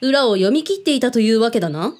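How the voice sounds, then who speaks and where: A woman's voice: a little high, expressive, fast, a little mellow, lightly acted. A woman playing a shrewd, skeptical former agent in a radio drama, with terse, mannish phrasing and probing questions. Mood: wry, probing. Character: shrewd, skeptical female ex-agent in a protection business. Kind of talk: Japanese radio drama acting.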